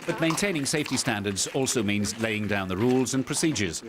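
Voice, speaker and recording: A man's voice: medium pitch, expressive, brisk, crowd noise. A man delivering scripted documentary narration. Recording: music behind the voice. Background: music.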